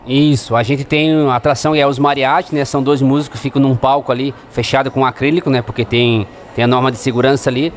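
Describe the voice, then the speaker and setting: A man's voice: mid-range voice, steady tone, fast talker, hardly any pauses, a little hiss or hum. A man in his thirties, a restaurant manager, explaining things plainly in an interview in a restaurant dining room into a handheld mic. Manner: plain, explanatory, frequent "né" tags. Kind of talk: interview answers. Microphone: handheld microphone held by host.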